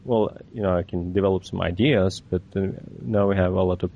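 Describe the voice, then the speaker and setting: A man from Norway, talking casually as a guest and pausing often with fillers. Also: low voice, steady tone, vocal fry, narrow, tinny audio. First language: Norwegian. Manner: halting, many "uh" and "you know" fillers. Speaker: guest creator of an animated video. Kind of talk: casual podcast chat.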